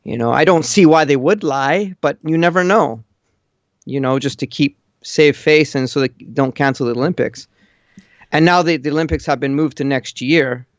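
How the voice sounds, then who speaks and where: Over a dull, dry internet call, a man speaks in a gravelly, fry-heavy voice. He chats casually as a caller, giving blunt, matter-of-fact opinions. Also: fairly high pitch, moderate pace, dry recording. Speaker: caller living in Japan. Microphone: online voice call.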